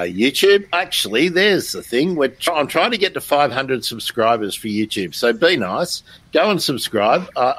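A man's voice: on the low side, a bit bright, rough recording. A man hosting a live stream in a casual, chatty, self-deprecating way. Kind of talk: casual chat.

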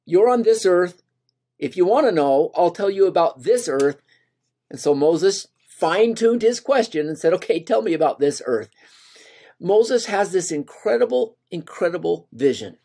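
A man's voice: high-pitched, a little mellow. An older man leads a scripture lesson at his desk, speaking earnestly into a webcam. He leans into quoted lines with rising emphasis, his voice plain and close. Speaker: gospel study teacher. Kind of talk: scripture lesson over slides.

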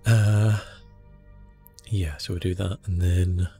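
Hushed, low-voiced man with creaky murmur. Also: steady tone, slow, soft background music. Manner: hushed, murmuring, often dropping into whispers. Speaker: gaming video host. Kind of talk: ASMR gameplay commentary, soft-spoken and relaxed.

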